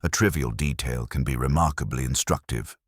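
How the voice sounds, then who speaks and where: A man voicing a calm, methodical police inspector in a mystery story, speaking formally and precisely. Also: rumbling low, a little fast, husky, no echo, clean studio sound. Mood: instructive. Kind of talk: acted dialogue in mystery narration.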